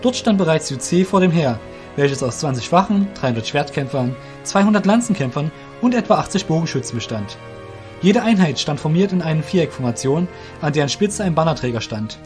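A man's voice: a little high, animated, brisk, a little mellow. Male audio drama narrator over music. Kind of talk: scripted storybook narration.

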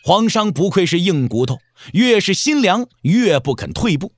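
A man's voice: high-pitched, very animated, normal speed, no room sound, clean studio sound. A man narrating an audio drama as a dramatic storyteller, with emphatic, theatrical phrasing.

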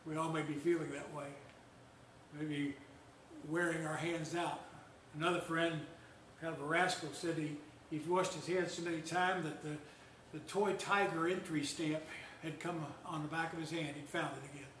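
An older man, a pastor, gives a seated sermon in a measured, storytelling way with gentle humor. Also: fairly high pitch, steady tone, a little hiss or hum. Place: church lounge with fireplace, indoors. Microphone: far camera. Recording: echoey room, voice heard from a distance.

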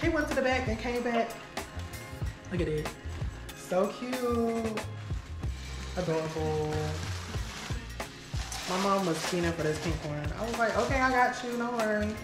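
A woman in her home kitchen shows off her purchases in an excited, playful vlog. She talks in a lively way, full of slang and catchwords she keeps repeating. The room echoes, music plays clearly behind her, and the camera sits a few steps away. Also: medium pitch, measured pace, long pauses. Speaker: vlogger. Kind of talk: excited casual vlog, grocery haul. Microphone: camera on the counter, a few steps away.

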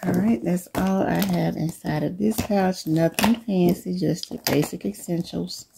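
Low, gravelly woman with a cold. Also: background noise. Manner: relaxed, unhurried, addresses viewers as y'all. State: coming down with a cold. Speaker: vlogger. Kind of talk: casual show-and-tell chat. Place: living room, indoors.

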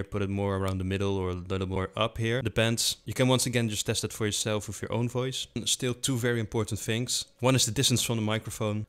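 Fast-talking man with a low voice. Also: clean tone. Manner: quick, informal explaining with filler words. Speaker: tutorial host. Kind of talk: screen-recorded software tutorial. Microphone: desktop USB condenser mic with foam and pop filter.

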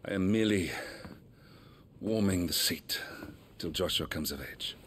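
A very low-voiced nobleman in a game cutscene. He is a weary, middle-aged archduke, measured, formal and dryly wry. A low rumble sits under the audio. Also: a bit bright. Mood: weary, bitter. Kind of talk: video game cutscene drama acting.

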